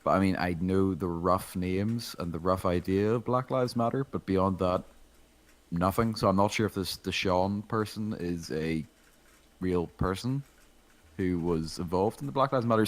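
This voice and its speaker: A man from outside the United States speaking casually in a group discussion, tentative and quick to correct himself. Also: sits low.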